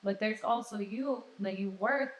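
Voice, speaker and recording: A woman's voice: medium pitch, highs cut off. Chatty woman podcast host, echoey room. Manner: chatty, encouraging, quick asides. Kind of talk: casual podcast interview.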